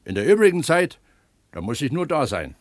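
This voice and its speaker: An actor playing an eager, earnest pump attendant at an opencast mine in a naturalistic radio drama, explaining things like a tour guide. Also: male voice, fairly high pitch, big pitch swings, fairly quick, no room sound. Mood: explanatory.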